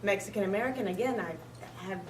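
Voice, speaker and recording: A woman's voice: medium pitch, fast talker, slight background noise. A woman school principal speaking earnestly on a panel, with light laughs. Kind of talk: panel discussion. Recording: echoey room, far from the mic.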